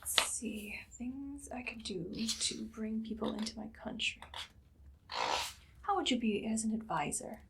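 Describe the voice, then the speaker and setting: A woman playing an imperious, idly cruel evil queen in an ASMR roleplay, soft-spoken and often whispering in a languid, condescending way. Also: on the high side, huge pitch swings, unhurried, creaky, heavy background noise, exaggerated voice. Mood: musing. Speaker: ASMR performer.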